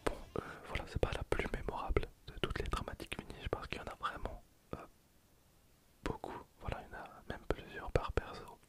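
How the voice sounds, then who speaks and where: A person whispering softly in a casual, hesitant way while commenting on their own gameplay for ASMR. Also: a little fast. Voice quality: soft unvoiced whisper. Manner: casual, hesitant, thinking aloud while playing. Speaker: ASMR gaming video creator.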